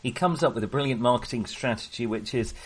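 A man hosting a podcast, talking casually about films in a jokey, wry manner with a husky voice. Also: medium pitch, fast. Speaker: podcast host. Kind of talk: casual film discussion.

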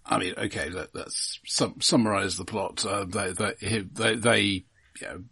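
A man co-hosting a film podcast, talking casually in a thoughtful, halting way and often correcting himself. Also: low voice, fairly even intonation, gravelly, bright, forceful tone, husky, dry recording. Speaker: podcast co-host.

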